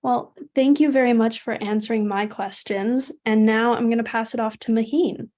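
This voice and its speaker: A woman's voice: fairly high pitch, fairly even intonation, moderate pace, darkish tone. A young woman co-hosting, politely reading out interview questions and thanking the guest. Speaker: co-host asking questions.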